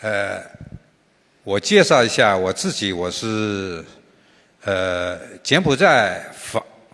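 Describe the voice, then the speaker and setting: An older man whose first language is Chinese, a trade association chairman answering on a panel in a slow, halting way with many fillers. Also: fairly low pitch, fairly bright tone. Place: large conference hall. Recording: reverberant conference hall.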